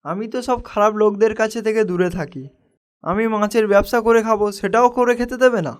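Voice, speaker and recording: A man's voice: high-pitched, steady tone, normal speed, close to an everyday voice. A man voicing a humble, hardworking young village fisherman in a cartoon, speaking in an earnest, modest way. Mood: indignant. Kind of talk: cartoon dialogue acting.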